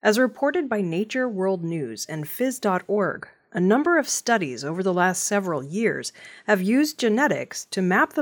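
A woman working as a news reader, delivering scripted news narration. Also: mid-range voice.

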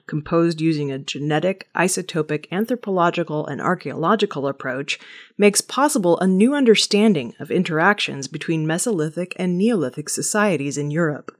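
A woman reading a scripted weekly news bulletin in a measured, steady manner. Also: on the low side. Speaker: news reader.

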